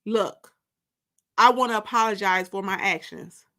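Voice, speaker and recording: A woman's voice: mid-range voice, a little slow, sharp tone, pitched below the performer's own voice. A woman voicing a stubborn, wounded young woman who speaks with indignant defiance in a dramatic audiobook reading.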